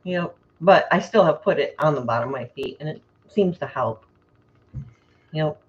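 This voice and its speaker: A woman's voice: sits low, animated, normal speed. A woman co-hosting a live stream, chatting casually and laughing often. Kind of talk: casual chat. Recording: dull lo-fi stream audio.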